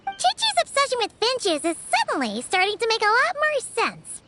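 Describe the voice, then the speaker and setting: Squeaky, extremely high-pitched little fairy. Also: female voice, huge pitch swings, normal speed, exaggerated voice. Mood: chatty. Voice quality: squeaky, childlike. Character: tiny chatty childlike fairy companion, excitable and fussy. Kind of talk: video game voice acting. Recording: loud music under the voice. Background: loud children's music.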